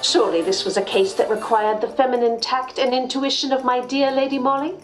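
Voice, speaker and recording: A woman's voice: high-pitched, fairly even intonation, brisk, natural voice, poor audio. A woman playing a devoted assistant who narrates a detective tale in formal radio drama storytelling. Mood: admiring, intrigued. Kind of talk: scripted first-person radio drama narration. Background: music.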